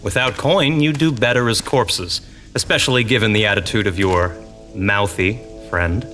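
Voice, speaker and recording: A man's voice: on the low side, normal speed, music in the background, natural voice. A man playing a smug, menacing bandit boss with mocking courtesy in a fantasy audio drama. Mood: mocking menace. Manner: mocking, theatrically courteous, chuckling.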